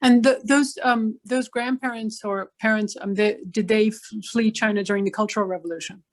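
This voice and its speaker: A woman's voice: medium pitch, steady tone, normal speed. A woman in a class, asking the teacher curious follow-up questions and reacting briefly with interest.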